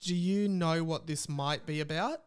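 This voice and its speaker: A man's voice: fairly high pitch, a little slow, clear, resonant tone, faint music behind. A man with an Australian accent hosting a podcast in casual, informal chat with a mock-aggrieved way of talking. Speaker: podcast host.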